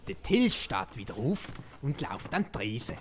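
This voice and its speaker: A man's voice: medium pitch, big pitch swings, moderate pace, a little hiss or hum, close to an everyday voice. A man narrating a comic Swiss German audio play as a tongue-in-cheek storyteller. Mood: wry. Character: animated, tongue-in-cheek storyteller of a folk-legend parody.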